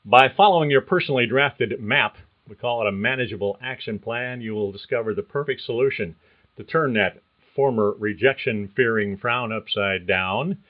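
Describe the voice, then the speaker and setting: An older man hosting a career-coaching podcast, reading a prepared monologue in a measured, earnest way. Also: mid-range voice. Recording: thin phone-like sound.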